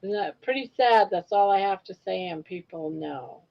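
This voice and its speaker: A woman in her sixties on a live video chat speaks bluntly and matter-of-factly. Her voice is mid-pitched and the sound is a bit dull and muffled. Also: expressive, moderate pace, vocal fry. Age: 60s. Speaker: regular guest. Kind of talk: casual chat.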